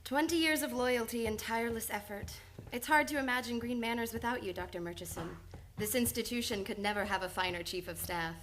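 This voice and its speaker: A woman's voice: fairly high pitch, a bit bright, soft background music, lightly acted. A woman playing a precise, reserved young lady psychiatrist. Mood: polite. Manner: formal, measured, articulate. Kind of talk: old-time radio play acting.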